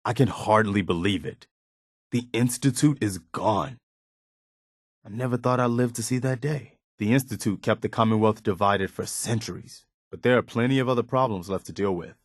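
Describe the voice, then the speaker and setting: Guy voicing a weary but idealistic militia officer in a wasteland game, lowish voice, earnest, really dry studio sound. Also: no room sound, lightly acted. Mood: relieved, sober. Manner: earnest, measured, conscientious. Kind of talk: video game character dialogue.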